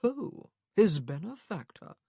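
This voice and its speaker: A suave English lord, put on by a man reading a story, jovial and smugly amused. He drawls with cheerful self-assurance. The voice is husky and dryly recorded. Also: high voice, big pitch swings, normal speed, no room sound, clean studio sound, exaggerated voice. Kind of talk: audiobook character dialogue.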